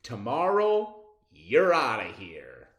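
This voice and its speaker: A man voicing a young boy with a goldfish in a children's picture book, animated and exclaiming. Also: mid-range voice, slow, gravelly, exaggerated voice. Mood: grudging, firm. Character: young boy, goldfish owner, grudging then excitable. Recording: roomy, echoing sound.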